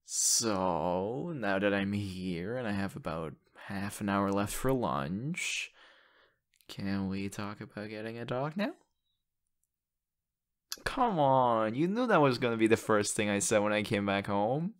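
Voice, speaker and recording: A man playing an excitable, affectionate young boyfriend in an intimate ASMR audio roleplay, talking haltingly and teasing playfully. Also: lowish voice. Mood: fond, curious. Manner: halting, repeats words, breathy laughs and sighs.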